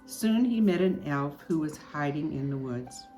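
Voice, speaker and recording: Older lady doing story time for kids, lowish voice, swoops all over, takes it slow, music behind. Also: expressive, dark tone, low-quality recording. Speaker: storyteller reading aloud for children. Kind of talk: children's storybook read-aloud.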